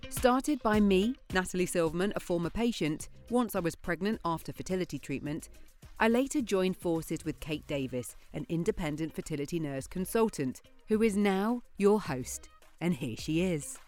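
A woman reading a scripted podcast introduction in a steady, measured way. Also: fairly low pitch. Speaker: podcast founder, intro narrator. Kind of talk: scripted narration. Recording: music bed behind voice.

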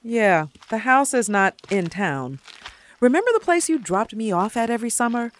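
An actress playing an exasperated, sarcastic grown daughter in a naturalistic audio drama. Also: female voice, a little high, very animated, moderate pace. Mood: complaining, frustrated. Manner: wry, emphatic, sarcastic. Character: exasperated, sarcastic grown daughter back in her creepy hometown. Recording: audio drama with faint background noise.

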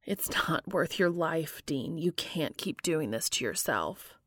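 A woman voicing a worried, weary, caring male doctor in an audiobook, grave and gently insistent. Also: low voice, expressive, slow, dry recording, clean studio sound, heavily acted. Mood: worried, firm. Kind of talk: audiobook character dialogue.

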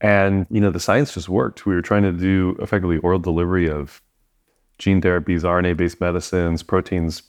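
A man with a somewhat low voice chats as a podcast guest. He is informal and self-deprecating, often stopping and rephrasing as he goes. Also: level tone, a little fast. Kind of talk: casual chat.

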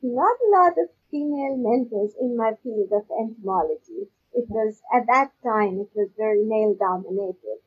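Husky woman from Pakistan, halting delivery. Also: on the high side. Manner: halting, repeats words and trails off. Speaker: guest, agricultural research scientist. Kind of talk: conversational interview answers. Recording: dull, lo-fi audio.

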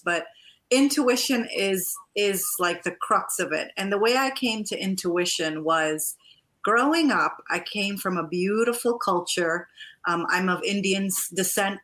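A woman born in Trinidad and raised partly in the US speaks as a guest in a conversational interview. Her voice sits at a middle pitch. She tells personal stories as a coach, in an effusive, expressive manner. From born in Trinidad, moved to the US at about eight. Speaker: guest, life and divorce coach.

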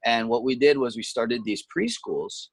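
A man's voice: medium pitch, animated, moderate pace, breathy edge, no room sound. A man from Hawaii, a touring musician, talking casually and patiently explaining words. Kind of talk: casual conversation. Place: home, during lockdown.

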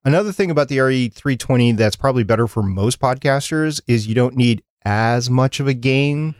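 A man co-hosting a podcast, calmly explaining at length in casual chat, with a bright voice on a studio mic. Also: medium pitch, fairly quick, dry recording. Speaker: podcast co-host. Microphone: studio dynamic mic.

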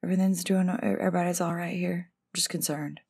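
Female tabletop player, slightly low voice, casual rambling table talk full of asides. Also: steady tone, a little fast, vocal fry, darkish tone, slightly rough. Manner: rambling, piles up questions and asides.

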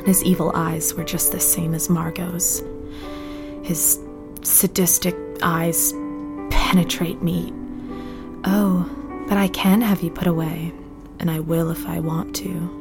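An actress playing a bitter, haunted young 1950s wife reciting her diary in a brooding, deliberate audio drama monologue. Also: on the low side, level tone, gravelly, music in the background. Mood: bitter defiance. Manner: brooding, deliberate, with heavy pauses.

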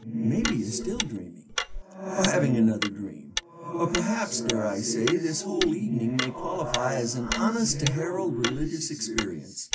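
An actor playing the wisecracking ghost of an old pal, teasing and mock-grand in a slangy, wry audio drama delivery. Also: male voice, mid-range voice, very animated, normal speed, heavy background noise, lightly acted. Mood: sly, wry. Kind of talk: 1920s-set audio drama acting.

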